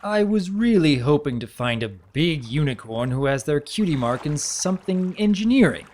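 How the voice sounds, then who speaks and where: Man playing a genteel pony baron, lighter and lively. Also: on the high side, normal speed, a little hiss or hum, voice pushed up. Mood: teasing. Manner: wordy, mock-formal, gently teasing. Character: genteel young male pony baron, bookish, kindly, playfully teasing.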